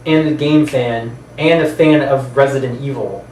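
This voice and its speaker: A man's voice: medium pitch, fairly even intonation, moderate pace, a little mellow. A man co-hosting a casual movie chat, speaking informally with some mild swearing. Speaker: co-host. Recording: roomy, distant mic, faint background noise.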